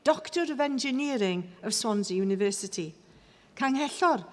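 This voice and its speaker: A woman with a Welsh accent giving a formal, stately ceremonial speech that presents an honorary graduate, moving between English and Welsh. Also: high voice. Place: large ceremony hall. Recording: echoey large hall.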